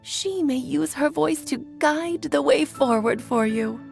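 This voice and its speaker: A woman playing an elegant, playful, motherly mage in a video game, telling stories in an expressive, refined way. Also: sits high, a little slow, vocal fry, faint music behind, full character voice. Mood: playful, storytelling. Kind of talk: theatrical storytelling, game voice acting.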